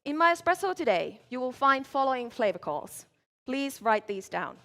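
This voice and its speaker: A woman from Finland, giving a measured competition presentation as a barista at a live event. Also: high voice, big pitch swings, fairly bright tone. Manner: measured, clear presenting while working.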